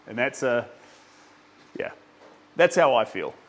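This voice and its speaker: Young kiwi guy painting on a livestream, rambly and chatty, lots of ums, mid-pitched voice. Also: very animated, slow pace, a bit bright. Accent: New Zealand. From New Zealand. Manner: chatty, rambling with many ums, reads out chat names. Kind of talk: casual livestream chat while painting.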